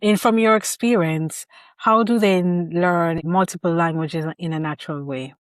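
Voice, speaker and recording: A woman's voice: on the low side, normal speed, dry recording, clean studio sound. A woman hosting an interview, talking reflectively and sharing her own parenting experience. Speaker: podcast host. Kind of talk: conversational interview.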